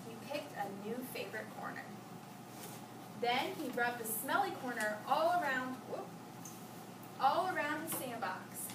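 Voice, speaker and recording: A woman reading a storybook aloud to children in an expressive way, pausing to ask questions and echo their answers. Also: fairly high pitch. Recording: echoey, noisy room, distant mic.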